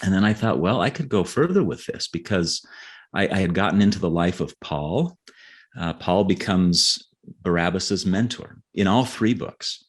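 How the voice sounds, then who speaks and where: A middle-aged man giving conversational interview answers as a guest author, telling his story haltingly and repeating words as he thinks. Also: low voice, expressive, husky. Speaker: guest novelist.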